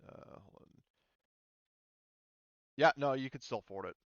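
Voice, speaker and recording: A man's voice: mid-range voice, a little slow, a bit bright, dry recording, muffled recording. A man acting as game master, talking players through a tabletop game in a casual, informal way with some swearing.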